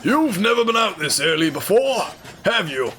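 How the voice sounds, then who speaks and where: A man's voice: high voice, sing-song, moderate pace, bright, forceful tone, voice pushed up, full character voice. A man voicing a genial, wry older railway wagon in an audio drama, folksy and patient. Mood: amused.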